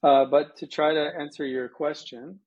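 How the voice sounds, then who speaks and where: A man's voice: fairly high pitch, level tone, normal speed, darkish tone, dull audio. An American man from Colorado answering interview questions conversationally, with anecdotes, as a guest from a missions organization. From United States, Colorado.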